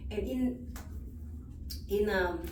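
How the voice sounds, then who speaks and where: A woman from Vietnam with a Vietnamese accent, answering job interview questions in halting, non-native English. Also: mid-range voice. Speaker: job candidate being interviewed. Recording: roomy echo, distant mic, loud background noise.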